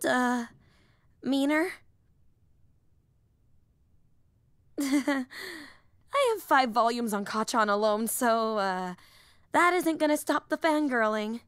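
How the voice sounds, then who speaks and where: A high-pitched, excitable young superhero played by a woman for an anime-style audio drama. She is a nerdy, earnest rambler who gets flustered, apologizes and cuts herself off. The recording is dry and close, with no room sound. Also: unhurried, clean tone, no room sound. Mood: sheepish fangirling. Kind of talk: roleplay audio drama acting.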